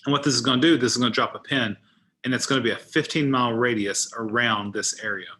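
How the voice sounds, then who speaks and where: A man's voice: mid-range voice, fairly even intonation, quick pace, sharp tone. A man giving an informal tutorial as a trainer, thinking aloud as he demonstrates each step on screen. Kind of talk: informal screen-share walkthrough.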